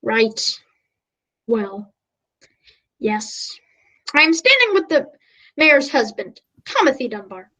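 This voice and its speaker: A woman playing an earnest, melodramatic, easily flustered radio news reporter in a comic radio play, halting and polite. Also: on the high side, very animated, sharp tone, heavily acted, poor audio.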